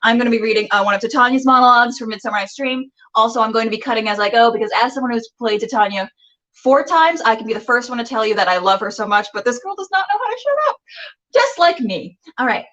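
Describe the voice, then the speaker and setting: A woman with a high voice hosts a web show in a chatty, self-mocking way. She is bubbly and theatrical, and sounds a little distant from the microphone. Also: animated, fast, fairly bright tone, lo-fi sound. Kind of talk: livestream hosting, casual chatty announcements. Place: indoor room with a door. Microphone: camera on a tripod, a little distant.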